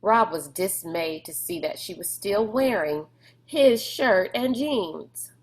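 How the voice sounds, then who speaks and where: A woman's voice: mid-range voice, lively intonation, slow. A woman reading a storybook aloud as narrator. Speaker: read-aloud reader.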